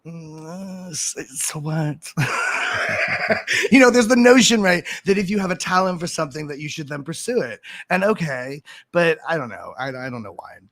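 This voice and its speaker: A man's voice: fairly high pitch, lively intonation, normal speed, fairly bright tone, dry recording. Guy rambling on a live stream, laughs at himself a lot, dry close sound. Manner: rambling, self-mocking, laughs often. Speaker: guest. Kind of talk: casual live-stream chat. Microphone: desk mic.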